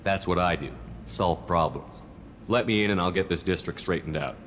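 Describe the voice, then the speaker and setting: A man's voice: sits low, normal speed, a bit bright, a little hiss or hum. An actor playing a blunt male human commander who speaks firmly. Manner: blunt, firm.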